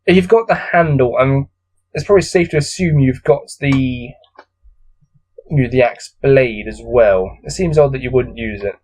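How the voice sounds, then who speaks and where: Guy w a medium pitch voice reading a choose-your-path gamebook out loud, thinking aloud, self deprecating asides. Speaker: gamebook let's-play host.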